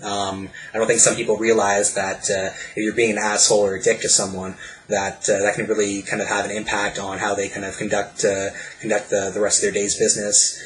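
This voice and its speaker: A Canadian man giving a spoken album review over slides, pausing often with fillers and restarting his sentences. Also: fairly low pitch, flat delivery, poor audio. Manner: hesitant, many fillers and restarts. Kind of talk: presentation voice-over.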